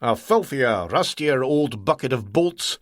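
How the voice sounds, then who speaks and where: A man's voice: mid-range voice, animated, measured pace, no room sound, studio quality, close to an everyday voice. Storybook role: a cunning Scottish engineer, dogged and wheedling, put on by a male narrator. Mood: gruff. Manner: broad dialect, stubborn and sly.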